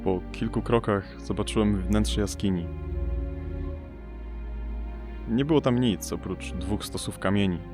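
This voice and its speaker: A man playing a somber investigator who narrates an audio drama in a measured, observational way. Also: on the low side, close to an everyday voice. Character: somber male investigator searching a storm-struck family home. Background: music behind the voice.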